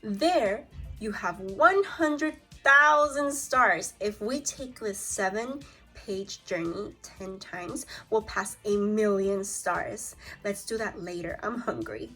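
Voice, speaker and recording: A woman with an American accent teaching a read-aloud lesson to young children, expressive and speaking straight to her pupils. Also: mid-range voice, takes it slowly, faint music behind. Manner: animated, expressive, addressing pupils directly. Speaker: elementary school teacher.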